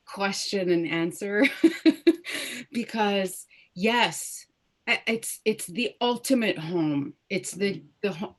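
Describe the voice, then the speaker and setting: A woman in her thirties, born in Lebanon with Arabic as her first language, talking reflectively in a casual video-call interview, often restarting her sentences. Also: mid-range voice. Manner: warm, reflective, many restarts and fillers. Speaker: guest soprano.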